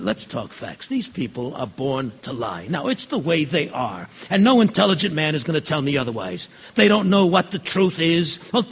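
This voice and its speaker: A raspy, high-strung male juror in an audio play, bigoted and belligerent. His voice swings widely and cuts sharply as he rants in rough, stumbling bursts. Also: bright, forceful tone, heavily acted. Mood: exasperated, contemptuous. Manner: belligerent, ranting, stammering restarts. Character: enraged, bigoted juror, a ranting loudmouthed man. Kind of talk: stage-style radio drama acting.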